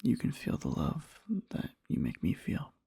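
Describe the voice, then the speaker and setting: A man playing an adoring boyfriend in a soft ASMR roleplay, murmuring slowly and tenderly to a sleeping partner in a breathy, husky whisper. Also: no echo, studio quality, lightly acted. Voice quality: breathy, husky, with creaky fry. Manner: slow, halting, tender murmuring.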